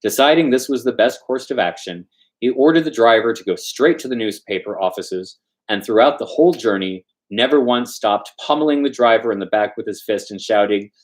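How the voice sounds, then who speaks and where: A man reads a classic-style tale aloud in a mid-pitched, very animated voice. His delivery is informal and loose, with stumbles and asides to the listener. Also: a little fast. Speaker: storyteller, show host. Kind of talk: informal story reading.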